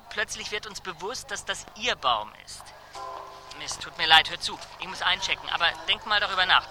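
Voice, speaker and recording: An actor playing an excitable, hurried man eagerly pitching ideas by phone in a radio drama. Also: heavily acted. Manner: eager, halting, urgent. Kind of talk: radio drama acting, answering machine message. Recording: faint urban background noise.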